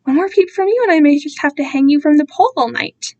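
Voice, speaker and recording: Female reader as male captor, possessive, condescending, patronizing, high-pitched, dramatic fiction. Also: quick pace, darkish tone, muffled recording. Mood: menacing, angry. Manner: cooing, patronizing. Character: male captor, doting and condescending, soothing yet controlling.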